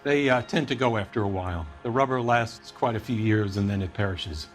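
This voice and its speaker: A man's voice: on the low side, a little fast, soft background music, natural voice. A man playing a defensive, harried heater company boss in a video game, talking in a matter-of-fact way. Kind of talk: video game dramatic acting.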